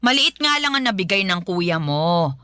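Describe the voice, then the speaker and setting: A woman playing a harsh, belittling, nagging Filipino mother in a radio drama, with a sharp-tongued, scolding manner. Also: medium pitch, very animated, normal speed, clean tone, dry recording, clean studio sound. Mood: irritated, demanding.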